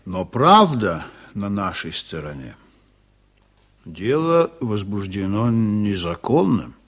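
Husky, low-voiced earnest sea captain. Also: male voice, big pitch swings, slow pace, a bit bright, exaggerated voice. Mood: firm. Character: earnest, determined male ship's captain seeking justice. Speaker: ship's captain. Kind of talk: 1980s Soviet radio drama acting.

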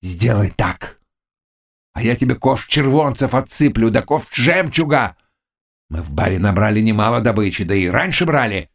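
A man's voice: fairly low pitch, expressive, moderate pace. A man voicing a fierce, lovesick Cossack chieftain in an audiobook, shifting between brooding mutters and anguished cries. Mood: lovesick, desperate. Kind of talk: audiobook character dialogue.

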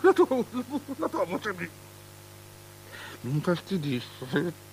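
An actress playing a scornful, irritated queen in an avant-garde radio drama, with drawn-out theatrical delivery, on a noisy recording. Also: lowish voice, huge pitch swings, darkish tone, heavily acted. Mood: haughty annoyance.

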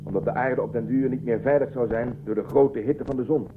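A man's voice: medium pitch, fairly even intonation, brisk, slight background noise, close to an everyday voice. A man playing an earnest starship commander in a science-fiction radio drama, questioning and persuasive in his delivery. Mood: earnest, explaining. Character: earnest young male starship commander searching for Earth. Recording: narrow-band muffled audio, faint music.

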